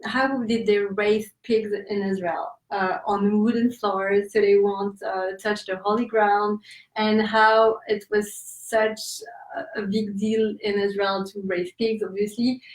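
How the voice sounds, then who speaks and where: A woman's voice: mid-range voice, level tone, takes it slowly, recorded from a distance. A woman with a French accent, answering interview questions as an author, hesitant with many fillers and laughing asides. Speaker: author guest. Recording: roomy echo, off-mic.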